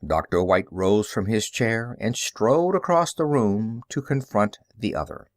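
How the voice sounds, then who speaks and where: A man reading a story aloud as an audiobook narrator, expressive and dramatic. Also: on the low side, measured pace, dry recording, clean studio sound. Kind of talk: scripted story narration with character dialogue.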